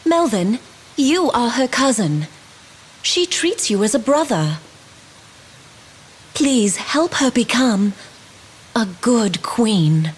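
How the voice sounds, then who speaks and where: A woman's voice: on the high side, big pitch swings, takes it slowly, pauses often, slightly rough, full character voice. A woman playing a regal, indulgent fairy queen and mother in a fantasy game, formal and stately. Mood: entrusting, concerned. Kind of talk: fantasy video game voice acting.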